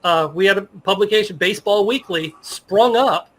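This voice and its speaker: Bright, high-pitched middle-aged American man. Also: normal speed. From United States. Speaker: interview guest, sports journalist. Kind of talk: casual interview conversation, reminiscing.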